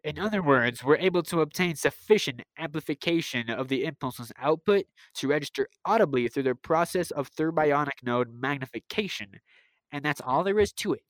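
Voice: mid-range voice, lively intonation, normal speed, no room sound, lightly acted, poor audio. Amateur actor as eager, lecturing radio-serial scientist. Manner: wordy, lecturing, technical explanations. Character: excitable, proud old-time male scientist-inventor, learned and eager. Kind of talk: old-time radio serial acting, by amateur school players.

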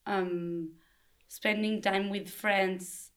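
A woman's voice: mid-range voice, fairly even intonation, very slow. A young woman from Argentina, a native Spanish speaker, answering thoughtfully in English while searching for words. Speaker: language assistant, guest.

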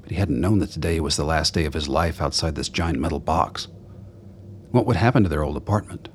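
A man's voice: rumbling low, brisk, a little hiss or hum. A man narrating a radio play, reading scripted third-person story prose.

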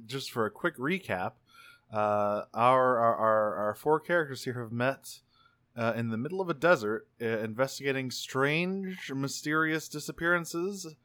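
A man casually co-hosting a tabletop role-play podcast, halting and repeating words as he narrates. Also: mid-range voice, fairly bright tone, dry recording, studio quality. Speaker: podcast co-host.

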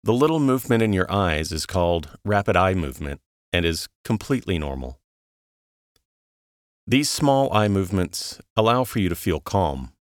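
A man narrating a guided relaxation in a calm, soothing, measured way, leaving long pauses between instructions. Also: sits low, no echo, studio quality.

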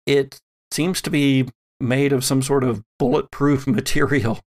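A man acts as game master for a tabletop role-playing game. He narrates slowly and deliberately, leaving dramatic pauses, in a middle-pitched voice with a lot of gravelly creak. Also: fairly even intonation.

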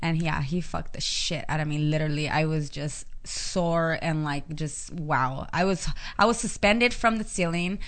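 A woman's voice: sits low, normal speed, hardly any pauses, a bit bright. A woman from Mexico with a Spanish accent, chatting frankly as an interview guest and often giggling. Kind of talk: casual interview chat.

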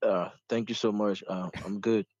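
Low-voiced man calling in, polite, halting, muffled phone line. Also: fairly even intonation, a little mellow, no echo. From Liberia, West Africa. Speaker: guest musician.

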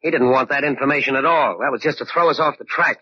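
An actor playing a cool, needling tough detective with slangy, confident talk in a 1940s radio drama. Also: male voice, medium pitch, fast, slightly rough, natural voice. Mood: confident, explaining. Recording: muffled old 1940s radio recording.

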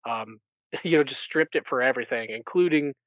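A man podcast host giving a casual recap in an animated storytelling way. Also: medium pitch, fairly quick, no echo, highs cut off.

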